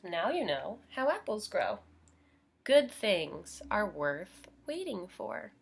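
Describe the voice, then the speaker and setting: A woman's voice: medium pitch, very animated, takes it slowly, vocal fry, slightly rough. An American woman teaching young children as she reads a picture book aloud, explaining simply and putting questions to her listeners.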